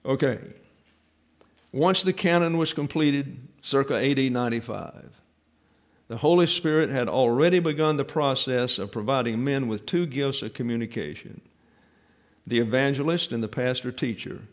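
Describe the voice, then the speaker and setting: A male pastor gives a Bible teaching sermon in an explanatory, conversational way, stopping often with fillers and restarting phrases. His voice sits at an ordinary middle pitch. He presses firmly on the key commands he wants the congregation to take home. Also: normal speed.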